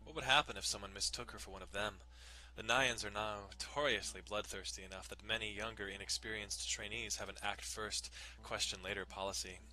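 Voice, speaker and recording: Low, very bright male narrator. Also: steady tone, fairly quick, few pauses, rough recording. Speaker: dramatic reader. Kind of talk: dramatic narration of a visual novel.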